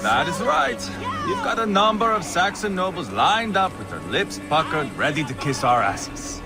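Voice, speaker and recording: A crude, mocking Viking warlord. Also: male voice, a little high, big pitch swings, normal speed, loud music behind the voice. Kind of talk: video game cutscene acting.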